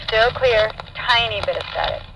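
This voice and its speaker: A woman's voice: fairly high pitch, moderate pace. Woman over a crackly, static-filled walkie-talkie. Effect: two-way radio transmission. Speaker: test partner giving signal reports. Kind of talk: short radio check replies.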